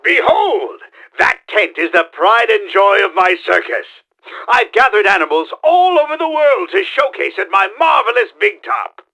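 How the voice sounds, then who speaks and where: A bombastic mad-scientist game villain, pitched high with wild, swooping intonation. The gravelly voice is forceful and theatrical. He is pompous and scheming, a middle-aged schemer who performs every line. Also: big pitch swings, moderate pace, heavily acted, poor audio. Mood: boastful showman. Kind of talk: exaggerated video game voice acting.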